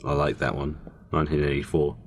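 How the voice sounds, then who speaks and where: A man's voice: very deep voice, little pitch movement, brisk, a little hiss or hum. A young British man co-hosting a podcast, chatting casually with dry, contradicting quips. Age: young adult. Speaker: podcast co-host. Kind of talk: casual bantering chat.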